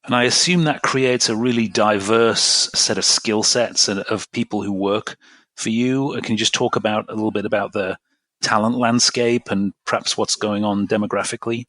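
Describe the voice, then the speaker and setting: A man's voice: fairly low pitch, fairly even intonation, moderate pace, fairly bright tone, rough recording. A man hosting a podcast interview and asking questions in a friendly, conversational way. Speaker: podcast host.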